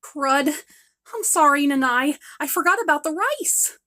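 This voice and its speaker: A playful ten-year-old girl who forgets her chores, as a woman acts her out. She sounds eager and a bit whiny, with a high, bright voice that leaps up and down. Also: expressive, unhurried, heavily acted. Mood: pleading.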